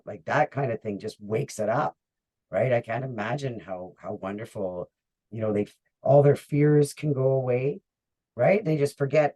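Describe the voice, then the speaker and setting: A man with a mellow, warm tone hosts a casual interview. He talks in an animated, enthusiastic way with quick asides. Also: medium pitch, rough recording.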